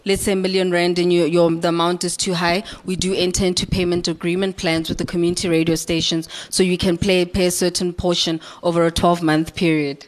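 A woman's voice: lowish voice, little pitch movement, a little fast, few pauses. A woman with a South African accent answering audience questions as a licensing manager, explanatory and emphatic.

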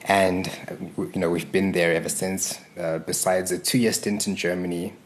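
A young man from Zimbabwe with a very deep voice talks through his life story as a podcast guest. He speaks in an unhurried, reflective way, pausing on fillers and restarting his sentences as he goes. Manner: relaxed, thoughtful, many fillers and restarts. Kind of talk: casual interview storytelling.

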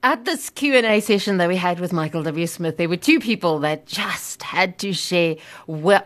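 A woman presenter introducing recorded clips in a chatty, light way. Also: on the low side. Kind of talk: narrated link.

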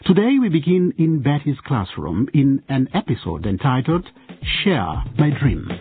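A man's voice: medium pitch, very animated, a little slow, phone-quality sound, over music. A man hosting and narrating a radio drama with scripted delivery.

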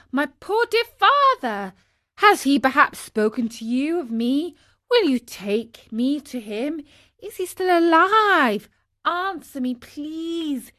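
A woman's voice: sits high, a bit bright, pitched above the performer's own voice, full character voice. A woman voicing a naive, impulsive wooden marionette boy in a storybook, with an exclamatory, pleading delivery. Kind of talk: storybook character voice.

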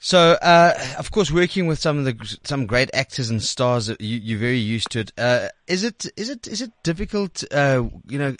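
A man's voice: mid-range voice, big pitch swings, normal speed, hardly any pauses, fairly bright tone, dry recording, studio quality. A South African man hosting a chatty radio interview in an effusive way. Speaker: radio host.

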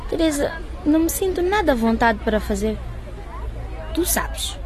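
High-pitched, anxious teenage schoolgirl. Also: animated, moderate pace, a little mellow, a faint crowd. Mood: worried, pleading. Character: distressed pregnant teenage African schoolgirl, anxious and pleading. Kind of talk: radio drama acting.

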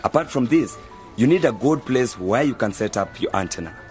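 An actor playing a young university student who patiently explains things in a measured way in an educational radio drama. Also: male voice, mid-range voice, animated, darkish tone, faint music behind, close to an everyday voice. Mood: patient, instructive. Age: young adult. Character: calm, knowledgeable male university student mentor. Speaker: university journalism student mentoring novices.

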